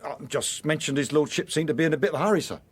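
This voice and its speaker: An actor with an English accent playing a nervous household chauffeur in a radio drama, answering hesitantly and deferentially. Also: male voice, a little high, racing, breathy edge. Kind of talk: British radio drama acting.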